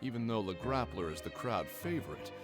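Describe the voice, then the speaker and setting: An actor playing a brisk, slightly condescending fight ticket seller in a video game, with a showy salesman's delivery. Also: lowish voice, animated, natural voice. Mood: advising. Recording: game music behind.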